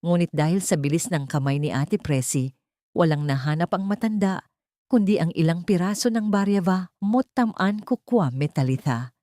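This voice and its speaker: A woman's voice: lowish voice, expressive, normal speed, dark tone, no room sound, studio quality. A woman with a Filipino accent narrating a dramatic scripted story as an audiobook reader.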